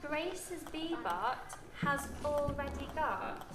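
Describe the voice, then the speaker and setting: A woman's voice: sits high, animated, takes it slowly. A British woman, a primary school teacher, explains things step by step to young children with plenty of questions. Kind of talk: interactive classroom lesson with young children. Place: indoor classroom with wooden floor. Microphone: camera a short distance away. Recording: echoey classroom, picked up at a distance.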